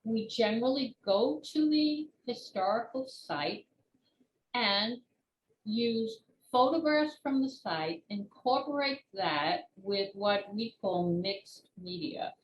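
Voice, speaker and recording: Older lady doing an interview, kinda slow n measured, roomy echo, noise behind her. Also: fairly high pitch, animated, dull audio. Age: 60s or older. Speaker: children's book author and retired teacher being interviewed. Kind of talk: conversational interview answers.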